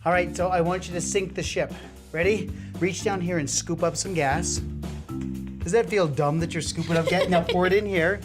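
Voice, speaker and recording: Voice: mid-range voice, fairly even intonation, normal speed. A science show host giving a live demonstration, coaxing a helper along with enthusiasm and frequent questions. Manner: enthusiastic, coaxing, asks many rhetorical questions. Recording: music behind the voice.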